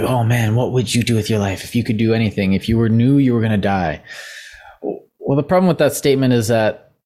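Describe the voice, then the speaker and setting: A man's voice: fairly low pitch, darkish tone. A young man hosting a casual podcast discussion who is losing his voice, rambling and thinking aloud with many fillers. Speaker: podcast co-host.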